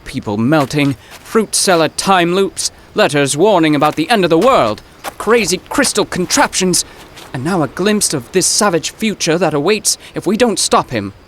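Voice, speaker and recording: A pompous bookish professor, acted by a man for a comic audio drama, with a fairly high voice that swings widely. His speech is florid and formal, full of bewildered wonder, with clear noise behind him. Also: expressive, moderate pace, fairly bright tone. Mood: solemn, indignant. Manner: florid, formal, theatrical wording.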